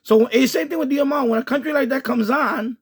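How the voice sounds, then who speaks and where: Male hobby-radio host, high and husky voice, casual explanatory commentary that circles back and repeats itself. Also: fast talker. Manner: rambling, repeats himself, explanatory. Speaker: amateur radio video host. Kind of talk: casual running commentary over radio chatter.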